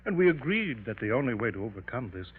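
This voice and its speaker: An actor playing a patronizing professor with a morbid fascination for death in a 1950s radio melodrama. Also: male voice, medium pitch, very animated, slightly rough. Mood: condescending, coaxing. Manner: pompous, patronizing, deliberate. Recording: dull, hissy old radio recording.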